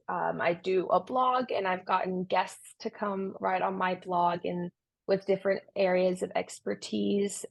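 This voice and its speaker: A young woman from Romania talks through her answers as a podcast guest, sincere and full of restarts. Her voice sits at a middle pitch and has a gravelly, creaky texture. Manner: earnest, with frequent restarts and fillers. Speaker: guest, tutoring business founder. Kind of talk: conversational interview answers.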